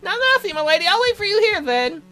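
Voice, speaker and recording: A woman's voice: very high-pitched, sing-song, fast talker, sharp tone, soft background music, voice pushed up, heavily acted. A woman voicing a timid, devoted young male bird servant with a flustered, stammering manner in an amateur visual novel read-through. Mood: nervous.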